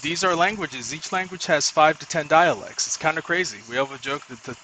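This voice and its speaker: A man's voice: on the high side, brisk, pushed and bright, a little hiss or hum, poor audio. A young man from Nigeria, chatting casually as he cooks in his home kitchen and explains each step. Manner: chatty, explains while working. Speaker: home cook. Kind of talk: casual cooking video.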